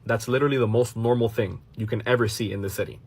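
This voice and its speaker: Brief: Miami-born man, husky mid-pitched voice, reaction commentary aimed at his viewers. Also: steady tone, fast talker, darkish tone. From Miami, United States. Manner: explanatory, talks straight to viewers, drops in Spanish phrases. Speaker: commentary channel host. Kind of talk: casual reaction commentary to a clip.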